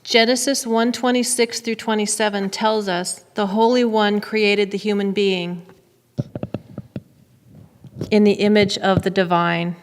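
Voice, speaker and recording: A middle-aged woman reads aloud very slowly from a script. Her mid-pitched voice carries with long hall reverb. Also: steady tone, slight background noise. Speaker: reader in a readers theater. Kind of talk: scripted public reading.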